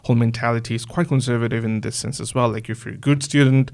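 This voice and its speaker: A man from Bangladesh, hosting a podcast interview and asking thoughtful, halting questions. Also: medium pitch, fairly even intonation, vocal fry, a little mellow. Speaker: podcast host.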